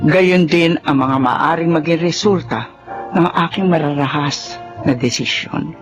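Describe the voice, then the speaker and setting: A woman's voice: sits low, measured pace, music in the background. A woman playing an older mother of grown daughters in a Filipino drama, with an emotive delivery. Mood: remorseful. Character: money-hungry mother of grown daughters, turning remorseful.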